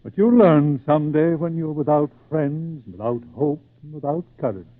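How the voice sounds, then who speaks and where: An actor playing a grave, worried father with a measured, solemn delivery in an old-time radio drama. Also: on the high side, no echo, natural voice. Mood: solemn warning. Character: grave, worried father warning his headstrong son. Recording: tinny old radio recording.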